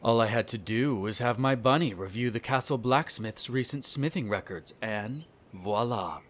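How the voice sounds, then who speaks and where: A man's voice: medium pitch, moderate pace, lightly acted. A man playing a smug, cruel vampire noble in an audio roleplay, speaking in a haughty, condescending, formal drawl. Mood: haughty, musing. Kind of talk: scripted audio roleplay acting.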